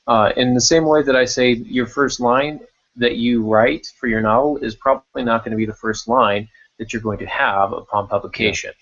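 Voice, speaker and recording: A man's voice: medium pitch, moderate pace, fairly bright tone, muffled recording. A man hosting a writing podcast in casual unscripted talk, thinking aloud and repeating words as he goes. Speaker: podcast host discussing writing craft.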